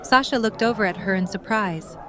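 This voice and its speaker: A woman's voice: mid-range voice, brisk. A woman reading scripted story narration as the narrator of an audio drama. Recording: music and crowd chatter behind.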